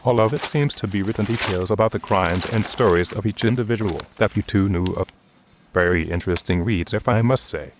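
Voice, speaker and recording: Man acting a low-voiced boss, noisy recording. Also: a little fast, natural voice. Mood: businesslike. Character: Michael, businesslike man in charge, running a meeting. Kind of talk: audio drama acting.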